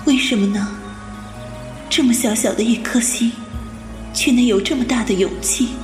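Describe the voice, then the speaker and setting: Gentle, wistful spirit maiden played by a woman. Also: on the high side, normal speed, soft background music, natural voice. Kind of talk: Chinese audio drama acting.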